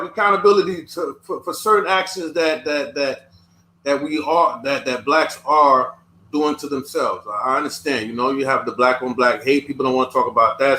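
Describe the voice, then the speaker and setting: A man who was born in the United States, talking in a casual discussion, halting and often repeating his words. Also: mid-range voice, pushed and bright, slight background noise. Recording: echoey room.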